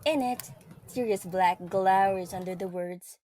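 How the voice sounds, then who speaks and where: A woman's voice: medium pitch, measured pace, mellow, a little hiss or hum. A woman reading scripted story narration as the narrator of an audio drama.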